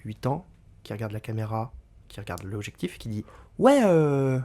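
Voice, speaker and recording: A man on a podcast panel, telling a story in halting casual chat and searching for his words. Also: fairly low pitch, sing-song, warm tone.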